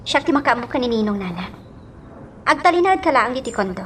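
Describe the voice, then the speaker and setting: A woman's voice: on the high side, sing-song, fairly quick, a little hiss or hum. A woman acting a caring, insistent young lady in an Ilocano radio drama, earnest and questioning, with a Filipino accent. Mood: gently insistent.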